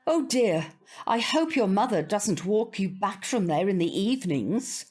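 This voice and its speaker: A woman's voice: mid-range voice, very animated, a little slow, darkish tone, husky. An actress playing a fussy, snobbish older woman neighbour in an audio drama, prim and proper but polite.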